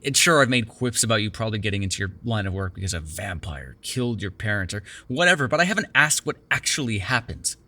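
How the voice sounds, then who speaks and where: Man acting a low-voiced, sardonic vampire. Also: big pitch swings, fast, few pauses, pushed and bright, exaggerated voice. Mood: exasperated, defensive. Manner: teasing, sarcastic, breaking into exasperation and soothing murmurs. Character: sardonic male vampire, prickly but secretly caring. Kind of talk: ASMR roleplay voice acting.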